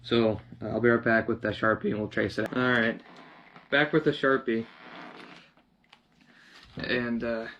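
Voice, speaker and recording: A young man casually narrating a hands-on project, half talking to himself in a halting mutter as he works in a living room. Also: mid-range voice, flat delivery, fairly bright tone. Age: young adult. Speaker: DIY vlogger. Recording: dull lo-fi sound, clear background noise.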